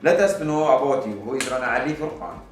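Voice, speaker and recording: A man's voice: mid-range voice, fast, loud music behind the voice. A man hosting an interview, introducing his guest formally and asking questions. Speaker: interview host. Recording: roomy echo.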